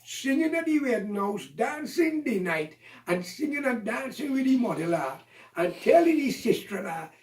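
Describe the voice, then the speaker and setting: A man's voice: very high voice, big pitch swings, normal speed. A man delivering an impassioned, accusatory monologue, ranting and preaching at his listeners. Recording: roomy echo, voice from a distance.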